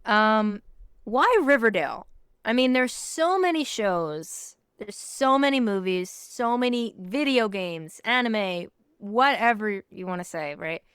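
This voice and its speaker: A woman's voice: medium pitch, animated, slow pace, a bit bright, clean tone, dry recording, studio quality. A woman hosting a podcast, interviewing in a casual, chatty style. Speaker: podcast host.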